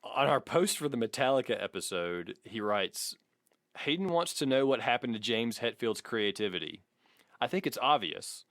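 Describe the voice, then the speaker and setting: A man's voice: medium pitch, animated, moderate pace, fairly bright tone, no room sound, clean studio sound. A man co-hosting a podcast in casual, joking, sweary chat, reading out listener comments. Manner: profane, joking. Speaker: podcast co-host.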